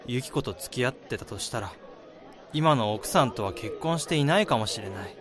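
An actor in a Japanese radio drama playing a kind-hearted, forgiving young best friend, speaking in an earnest, expressive way. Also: male voice, mid-range voice, normal speed, crowd noise, lightly acted. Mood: gentle, reflective.

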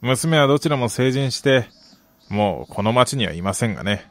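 An actor playing a middle-aged, wistful gambling soccer fan in a radio drama, polite and measured with a rueful, quietly menacing edge. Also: male voice, medium pitch, animated, close to an everyday voice. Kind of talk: Japanese radio drama acting.

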